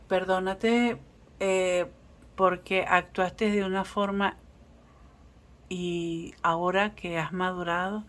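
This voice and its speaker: A woman's voice: fairly low pitch, a little hiss or hum. A Venezuelan woman with a Venezuelan Spanish accent, giving a casual, reassuring tarot reading and often restarting her sentences with fillers. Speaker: tarot reader.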